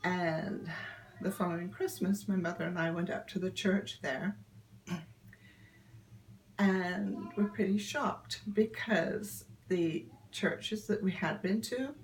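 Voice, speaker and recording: An older woman, telling stories in a relaxed interview, often laughing and pausing with ums. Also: on the low side, a little hiss or hum, low-quality recording. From Yorkshire, England. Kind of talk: casual storytelling interview.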